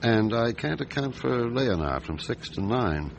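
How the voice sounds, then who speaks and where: A man playing a seasoned, gruff and methodical police chief inspector in a detective radio drama, speaking in a measured, deliberate, brooding way. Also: medium pitch, big pitch swings, slight background noise, poor audio. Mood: businesslike. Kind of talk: radio drama acting and narration.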